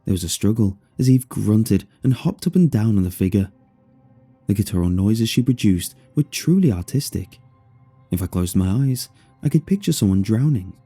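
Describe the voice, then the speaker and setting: A man's voice: lowish voice, expressive, normal speed, warm tone, husky, soft background music. A man reading a scripted first-person horror story as its narrator.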